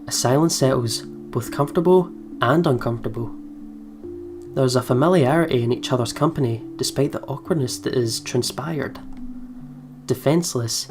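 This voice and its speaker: A man doing let's play commentary, reading game narration aloud in an expressive way with playful asides. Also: medium pitch, creaky. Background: soft game music with guitar.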